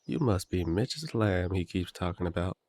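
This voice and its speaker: A man's voice: low voice, lively intonation, normal speed, darkish tone, no echo. A man playing a cheery, blunt country werewolf big brother in an immersive audio drama, with a folksy, teasing, hearty way of talking.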